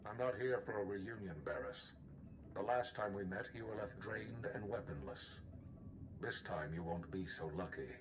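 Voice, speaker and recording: An actor playing a haughty, menacing Jedi master in a cinematic video game, speaking with deliberate, commanding contempt. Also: male voice, on the low side, background noise, close to an everyday voice. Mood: threatening.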